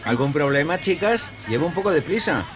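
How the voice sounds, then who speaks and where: An actor with a Castilian Spanish accent playing a polite, calmly menacing young killer in a radio drama. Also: male voice, on the high side, brisk, natural voice. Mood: friendly, casual. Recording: music bed under the voice.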